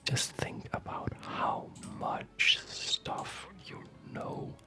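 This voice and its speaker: A man giving whispered ASMR game commentary as a video host, in a soft breathy whisper with a rambling, hesitant, unhurried manner.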